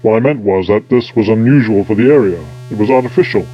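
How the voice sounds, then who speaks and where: A man's voice: fairly low pitch, fast, muffled recording, natural voice. A man playing an eccentric, self-important time-travelling scientist in an audio drama, pompous and absent-minded. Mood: musing.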